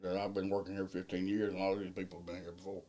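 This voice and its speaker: A middle-aged man, a field crew foreman, answering interview questions in a loud, plainspoken, folksy way. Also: sits low, level tone, quick pace. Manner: plainspoken, folksy, unpolished grammar.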